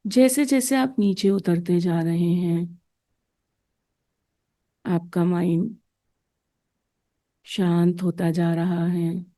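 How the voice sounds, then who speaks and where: A woman guides a meditation in a soft, mellow voice that sits a little low. She moves very slowly, placing short phrases gently with space between them. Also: steady tone. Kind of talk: slow soothing guided meditation narration.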